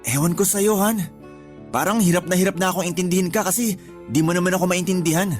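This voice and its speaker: A man's voice: high voice, quick pace, faint music behind, natural voice. A man with a Filipino accent acting a weary, hurt live-in partner in a radio drama, earnest and reproachful. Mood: weary, frustrated.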